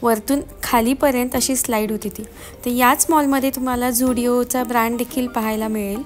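A woman's voice: on the high side, steady tone, moderate pace. A woman narrating a family vlog in casual, explanatory voice-over, often repeating her phrasing. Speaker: family vlogger. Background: music.

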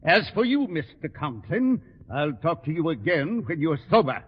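A man's voice: on the high side, very animated, moderate pace, husky. A man playing a stern, skeptical school board official, formal and disapproving, in 1940s radio sitcom style. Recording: dull echoey old radio broadcast.

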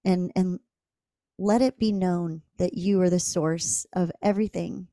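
Soft-spoken woman podcast host praying. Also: on the low side, fairly even intonation, measured pace, no room sound, clean studio sound. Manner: gentle, earnest, many soft fillers. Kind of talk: spontaneous spoken prayer.

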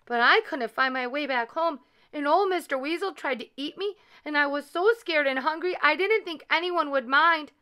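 A woman's voice: high-pitched, normal speed, pushed and bright, pitched above the performer's own voice. A woman voicing a polite young girl, sheepish and pleading, in a storybook telling. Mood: tearful pleading. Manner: pleading, stammering.

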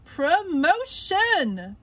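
A woman voicing an excitable, childlike young male sponge from a cartoon storybook, with exuberant, drawn-out exclamations. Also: extremely high pitch, voice pushed up, exaggerated voice. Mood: proud, excited.

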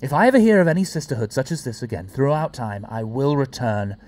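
An actor playing a time-travelling alien adventurer in an audio drama, stern yet playful and awkward. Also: male voice, medium pitch, expressive, fast talker, a little mellow, lightly acted. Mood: stern, grave.

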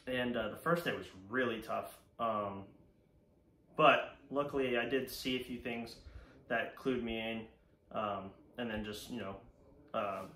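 A man's voice: mid-range voice, bright, forceful tone. Echoey, casual young American man. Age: young adult. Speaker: fishing channel host. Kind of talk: casual vlog talk to camera. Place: indoor room at home, seated before a banner. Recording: echoey room, faint background noise.

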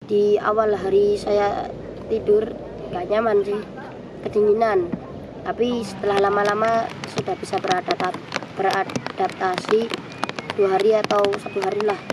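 A boy of about twelve or thirteen, giving an earnest, slightly halting prepared testimonial to camera as a student scout. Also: high voice, little pitch movement. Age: young teenager, about 12 to 13. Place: outdoors in a hillside camp garden. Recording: clear outdoor ambient noise.

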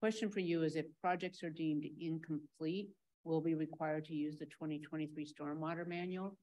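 Low-voiced woman asking council questions. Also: fairly even intonation, normal speed, a little mellow, poor audio. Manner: direct, conversational, thinks aloud while asking.

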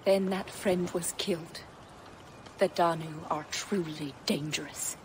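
An actress playing a solemn, mysterious forest druid in a video game, speaking in a grave, measured, incantatory way. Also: female voice, lowish voice, steady tone, a little hiss or hum. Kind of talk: video game cutscene acting.

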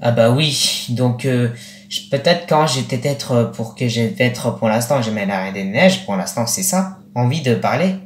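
A young man in his late teens speaking casually for a vlog, in a rambling, repetitive and halting way. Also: mid-range voice, lively intonation. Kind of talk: casual vlog with acted-out conversations. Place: small attic bedroom, indoors. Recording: roomy, echoey webcam-style recording.

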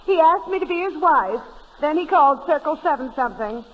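A woman's voice: high-pitched, expressive, a little fast. An actress playing a naive, earnest young woman on the witness stand in 1930s radio comedy. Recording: noisy, muffled 1930s radio, echoey hall.

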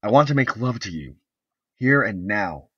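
A male narrator voicing a drunk husband who is coaxing and pushy, in a deep, husky voice. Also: animated, normal speed, bright, forceful tone, dry recording, recorded from a distance, heavily acted. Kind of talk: audiobook character voice. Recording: dull, lo-fi recording.

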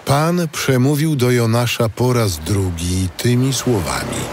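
A man playing a solemn narrator, reading a scripted audio Bible in a measured way. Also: mid-range voice, lively intonation, music in the background, natural voice. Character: solemn male biblical narrator.

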